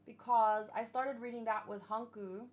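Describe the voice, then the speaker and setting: A woman's voice: fairly high pitch, fairly even intonation, moderate pace, a little mellow. A woman hosting a casual manga read-along, chatting in a rambling way with lots of fillers and restarts. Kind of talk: casual chat and reading aloud.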